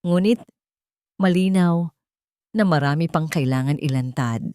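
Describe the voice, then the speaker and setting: A woman's voice: low voice, unhurried, darkish tone, clean tone, no echo, studio quality. A woman voicing a stern, serious judge in an audio story, formal and grave.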